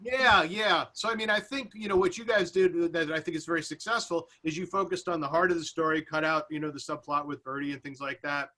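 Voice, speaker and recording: A man with a fairly high, bright and forceful voice talks as a panelist. He feels his way through his points, stopping and repeating words as he goes. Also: steady tone. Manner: halting, searching delivery with repeated words. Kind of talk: unscripted panel discussion.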